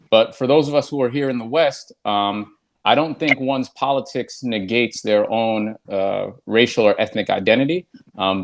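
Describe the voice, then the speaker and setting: Male panel guest, lower voice, measured and academic delivery. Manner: measured, formal vocabulary with "uh" pauses. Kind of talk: academic-sounding panel answer.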